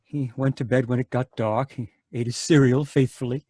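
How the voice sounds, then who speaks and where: A man's voice: mid-range voice, fairly even intonation, normal speed, warm tone, dry recording, lightly acted. An actor playing a worried male psychiatrist in an old-time radio drama, stammering and anxious as he speaks. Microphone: home mic on a video call.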